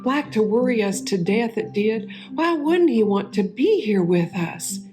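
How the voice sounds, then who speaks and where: A woman playing a warm, homespun biblical sister in a dramatic monologue, with a Southern US accent and a folksy, wistful manner, lingering over her words. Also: on the high side, lively intonation, a little slow, music in the background. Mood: grieving, wistful. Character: warm homespun Martha of Bethany, sister of Lazarus.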